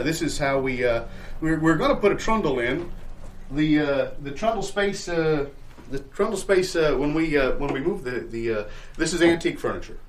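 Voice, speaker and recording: A man playing an evasive, sales-hungry landlord in an improvised comedy sketch, with halting, stammering salesman patter full of euphemisms. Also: mid-range voice, natural voice. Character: evasive, sales-hungry male landlord showing shabby rental bungalow.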